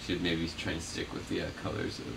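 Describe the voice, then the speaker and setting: A man being interviewed as a puppeteer, chatting casually in a halting, rambling way that often trails off. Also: sits low, completely flat delivery, vocal fry, a bit bright. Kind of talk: casual unscripted interview chat. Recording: noisy, distant mic.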